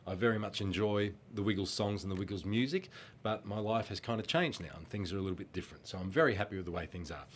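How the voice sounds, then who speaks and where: A man's voice: lowish voice, fast, a little hiss or hum. A middle-aged Australian man with a blocked nose from a cold, chatting casually through fan questions in a friendly, rambling way with lots of ums. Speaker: former children's band singer answering fan questions.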